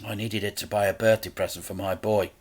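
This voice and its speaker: A man's voice: fairly low pitch, level tone, fairly quick, natural voice. A man voicing a desperate, protective father in a children's story, pleading in a strained, stammering way. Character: desperate, pleading dad, protective of his son.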